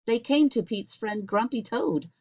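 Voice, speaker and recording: A middle-aged woman reading a children's picture book aloud with expressive, deliberate phrasing. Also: a little high. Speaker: storybook reader. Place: small indoor home room. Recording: dull lo-fi webcam recording.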